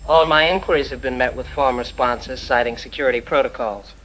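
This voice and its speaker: A man's voice: medium pitch, fairly quick, a bit bright, natural voice. A man playing a serious, analytical investigative journalist giving precise findings in an audio drama. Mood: serious, concerned. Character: serious investigative journalist exposing corporate profiteering. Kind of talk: formal news testimony in audio drama. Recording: muffled lo-fi sound, noisy ambience.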